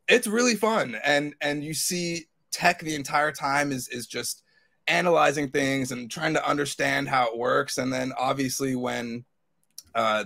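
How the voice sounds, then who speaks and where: A man with a noticeably gravelly, creaky voice at an average pitch. He talks like a podcast co-host in a casual fan discussion, rambling along with enthusiasm. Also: a bit bright.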